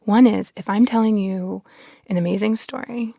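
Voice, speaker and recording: A woman, a podcast guest explaining science in an expressive, articulate, conversational way. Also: mid-range voice, moderate pace, vocal fry, no room sound, studio quality.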